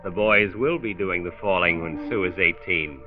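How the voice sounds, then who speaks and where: An actor playing a folksy, earnest narrator who gives health advice in a scripted 1940s radio series. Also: male voice, sits low, normal speed, faint music behind, natural voice. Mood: earnest, instructive. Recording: tinny 1940s radio recording.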